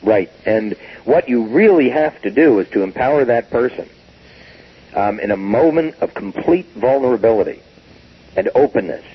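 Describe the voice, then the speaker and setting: Husky sixty-something man, lively and emphatic; dull lo-fi tape sound. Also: mid-range voice, normal speed. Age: 60s. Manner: emphatic, animated storytelling with acted quotes. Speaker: business guest expert. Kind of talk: recorded business interview.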